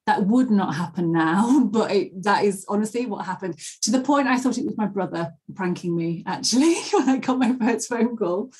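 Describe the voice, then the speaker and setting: A woman's voice: mid-range voice, lively intonation, moderate pace, hardly any pauses, darkish tone, husky. A middle-aged woman who teaches pronunciation, chatting as a podcast guest with many restarts. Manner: chatty, frequent restarts and fillers. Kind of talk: conversational podcast interview.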